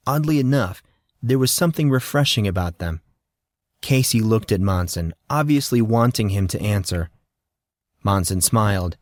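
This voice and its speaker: A man's voice: on the low side, animated, normal speed, no echo, studio quality. A man reading scripted fantasy fiction as an audiobook narrator.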